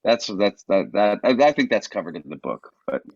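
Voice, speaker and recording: A man talking as a guest over a video call, hesitant and halting, often repeating words. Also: on the low side, sing-song, a little mellow, no room sound, highs cut off. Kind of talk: casual conversation on a video call.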